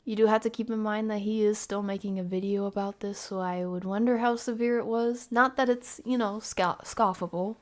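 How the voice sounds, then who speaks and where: A woman's voice: mid-range voice, fairly even intonation, a little fast, few pauses. A woman live streaming her reactions to a video in casual chat, with sarcastic, teasing asides.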